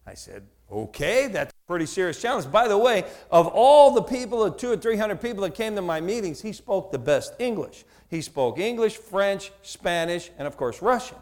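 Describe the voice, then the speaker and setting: A man with a New Jersey accent preaching a sermon, telling a story and acting out both sides of a conversation with lively, repeated words. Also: sits high, normal speed, few pauses. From United States. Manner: animated, acts out dialogue, repeats words.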